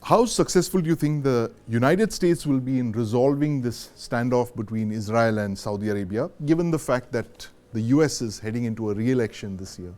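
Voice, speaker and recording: Indian guy hosting a news show, scripted presenter delivery, mid-pitched voice. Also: lively intonation, a little fast, hardly any pauses, darkish tone, faint music behind.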